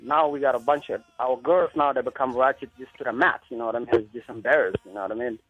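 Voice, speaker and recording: A man's voice: mid-range voice, quick pace, husky, no echo. A man from South Sudan calling in to a radio talk show, speaking earnestly and repeating himself often. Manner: earnest, repeats himself, many "you know what I mean". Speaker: caller. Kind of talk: phoned-in radio call. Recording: lo-fi phone line with faint music.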